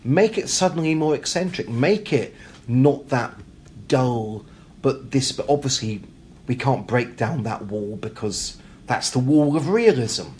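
A man's voice: mid-range voice, expressive, measured pace, darkish tone. Male author interviewee, conversational and emphatic, voicing imagined dialogue as he explains, light room noise behind. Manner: emphatic, acts out imagined quotes and questions. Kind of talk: animated, reflective conversational interview. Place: hotel.

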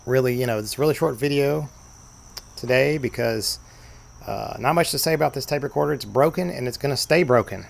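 Man, gravelly voice, mid pitch, rambling easygoing vlog talk, filler-heavy. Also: fairly quick. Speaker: vintage tape deck repair vlogger. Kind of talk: casual show-and-tell narration. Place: sunny room at home, at a desk.

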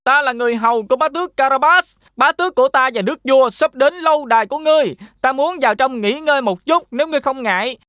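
Woman voicing a high-pitched, confident cartoon cat. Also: fast talker, few pauses, fairly bright tone, no room sound, studio quality. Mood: formal, confident. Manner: self-assured, persuasive. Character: clever, confident talking cat in boots, boastful servant. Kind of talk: children's cartoon fairy-tale dubbing.

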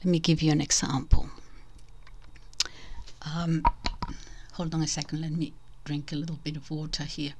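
An older woman with a Spanish accent, speaking in a discursive, casual way to her online audience, restarting and correcting herself and often dropping to a soft whispery aside. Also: low voice, flat delivery, moderate pace, long pauses, a little hiss or hum. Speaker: channel host.

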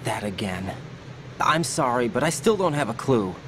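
A man's voice: mid-range voice, big pitch swings, normal speed, lightly acted. A man with an American accent playing an earnest, determined young special operative in a video game. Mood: concerned, insistent. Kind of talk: video game cutscene acting. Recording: clear vehicle and ambience noise behind.

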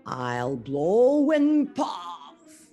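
A woman with a non-native English accent voicing a bullying storybook wolf who shouts threats and drags out words. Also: fairly low pitch, big pitch swings, very slow, muffled recording, soft background music, heavily acted. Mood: menacing. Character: big bad male wolf, menacing, bullying storybook villain.

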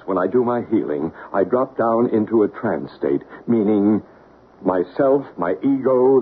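Reassuring male ghost hunter, deliberate, earnest, vintage radio play, tinny, faint hiss. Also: on the low side. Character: calm, reassuring male psychic investigator. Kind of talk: 1970s radio drama acting. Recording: tinny, hissy 1970s radio recording.

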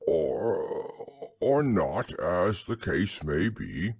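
A man voicing a humble, earnest, slow-witted bear in a storybook, with a plodding manner. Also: sits low, sing-song, a little mellow, slightly rough, dry recording, voice pushed down, full character voice. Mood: dreamy, wistful. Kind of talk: storybook character reading.

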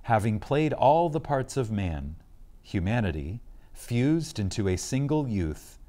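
A man giving a reverent, scripted reading of a spiritual lecture, solemn and emphatic, with deliberate pauses. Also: medium pitch, sing-song, dark tone.

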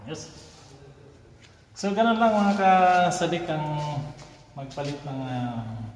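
A man, chatting casually and haltingly as a DIY vlogger. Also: a little high, big pitch swings. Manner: halting, informal. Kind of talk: casual vlog chat while working. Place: large bare concrete parking garage. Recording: echoey concrete garage, faint noise.

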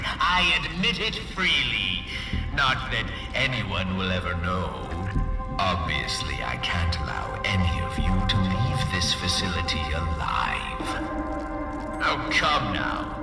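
An actor playing a pompous, gloating mad-scientist corporate director in a video game, sneering through theatrical villain speeches. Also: male voice, mid-range voice. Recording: echoey hall, distant, music behind. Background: game music.

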